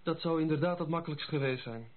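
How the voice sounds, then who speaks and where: An actor playing a sensible, loyal gentleman friend in a radio drama, reasoning in a matter-of-fact way. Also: on the high side, quick pace, natural voice. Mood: reasoning, sympathetic. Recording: muffled lo-fi radio play recording.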